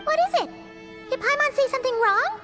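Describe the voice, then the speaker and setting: A woman voicing a tiny, childlike fairy companion in a video game, squeaky, excitable, cheeky and a bit bossy, speaking in blunt exclamations. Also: fairly quick, over loud music. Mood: curious, puzzled. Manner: exclamatory, blunt, refers to self by name. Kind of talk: animated video game character acting.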